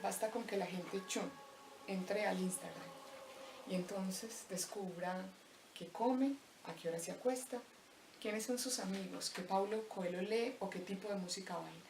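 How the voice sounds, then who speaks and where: A young woman from Colombia with a Paisa accent, slowly reciting a reflective spoken-word poem with deliberate pauses. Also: mid-range voice, noisy background. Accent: Paisa Colombian Spanish. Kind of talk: spoken-word poetry monologue. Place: empty abandoned house. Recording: roomy echo, voice distant from mic.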